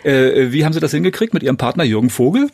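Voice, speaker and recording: A man hosting a radio interview, joking and quick with his questions. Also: medium pitch, lively intonation, darkish tone. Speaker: radio host.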